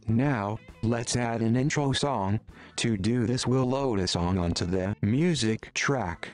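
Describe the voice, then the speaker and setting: A man reading a scripted tutorial voice-over in a measured, instructional manner. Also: mid-range voice, a little mellow, faint music behind. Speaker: tutorial narrator.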